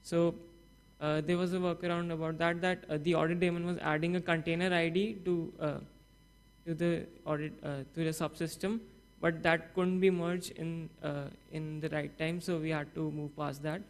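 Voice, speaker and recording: A young man gives a technical talk from a conference stage, with a slightly high voice. He speaks haltingly, stopping often with fillers and restarting his sentences. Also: level tone. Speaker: student project presenter.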